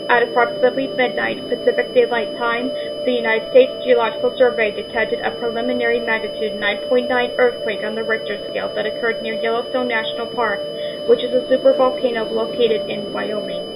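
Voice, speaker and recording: A woman playing a grave emergency alert announcer, reading a scripted bulletin in a formal, measured way. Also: on the high side, flat delivery, gravelly. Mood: grave, official. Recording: very loud noise, hum behind.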